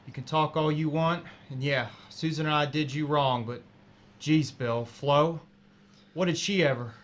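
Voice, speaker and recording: A man playing a guilty, frightened coworker in an audio drama, speaking in a halting, emotional way. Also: on the high side, dull audio, lightly acted. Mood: outraged. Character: guilty, frightened adulterous coworker, pleading then outraged.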